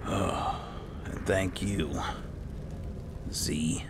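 A man hosting a roleplay playthrough of a game, murmuring and musing in character in a gravelly, breathy voice. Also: deep voice, steady tone, drawn-out words. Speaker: gaming roleplay host. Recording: clear noise and game ambience behind.

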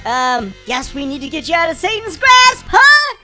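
A woman's voice: very high-pitched, sing-song, moderate pace, pushed and bright, soft background music, heavily acted. A woman playing a hammy, bumbling male exorcist priest with corny showbiz patter in a comic audio drama. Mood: jokey.

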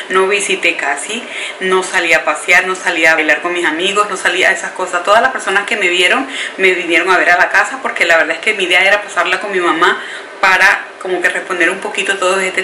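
A woman's voice: mid-range voice, echoey room, slight background noise, rough recording. Chatty Colombian Caribbean woman vlogging. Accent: Colombian Caribbean coast. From Caribbean coast of Colombia. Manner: chatty, warm address to viewers as "bellezas", emphatic questions. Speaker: beauty vlogger. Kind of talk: casual chat to camera. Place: home room with shelves and clothes rack, indoors. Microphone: camera in front at close range.

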